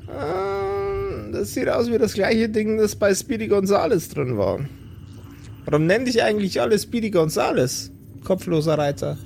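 Man putting on a high-pitched, flippant lady doctor. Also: expressive, normal speed, vocal fry, darkish tone, faint music behind. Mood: curious, flippant.

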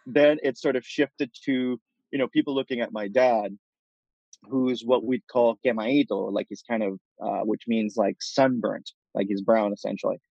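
A man from Puerto Rico speaking as a thoughtful podcast guest, laying out his ideas in articulate, measured phrases. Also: lowish voice, fairly even intonation, vocal fry, darkish tone, no room sound, dull audio. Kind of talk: reflective interview conversation.

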